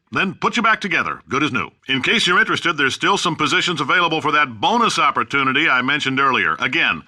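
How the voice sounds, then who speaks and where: A loud brash video game ceo, older man, super punchy sharp voice, swings up and down, folksy salesman bluster. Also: exaggerated voice. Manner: folksy, booming salesman bluster with blunt dry asides. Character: brash, callous, blustering older male science company CEO. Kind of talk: scripted video game voice acting, recorded announcement.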